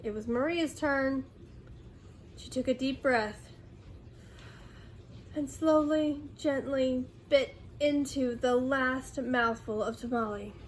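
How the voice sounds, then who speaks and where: High-voiced woman reading a story slowly. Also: a little hiss or hum, poor audio. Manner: dramatic, acts out dialogue. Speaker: storyteller reading a children's book aloud. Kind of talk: expressive scripted read-aloud for children.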